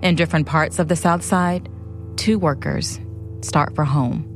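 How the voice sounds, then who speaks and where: Female documentary narrator, low pitch, scripted storytelling, music bed. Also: measured pace.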